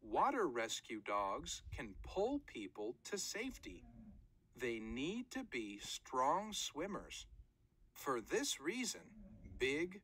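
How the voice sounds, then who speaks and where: A woman's voice: sits low, fairly bright tone, breathy edge. A woman reading a children's nonfiction book aloud in short, careful phrases, like a read-aloud narrator.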